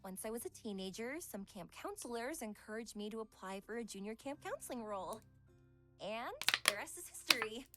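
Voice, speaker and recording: A woman's voice: a little high, expressive, brisk, pushed and bright, faint music behind. A woman voicing a refined, affectionate young psychologist in a visual novel game, with genteel, careful phrasing. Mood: warm, interested.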